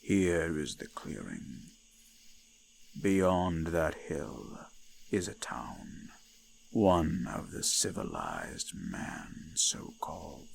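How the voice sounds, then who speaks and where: An ancient eldritch god of the forest, solemn and possessive, murmurs in a deep, gravelly voice full of creak. The delivery is extremely slow and formal, often slipping into breathy whispers, as in an intimate horror roleplay. There is some noise behind the voice. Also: male voice, very animated, full character voice. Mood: stern. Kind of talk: scripted roleplay audio, intimate ASMR.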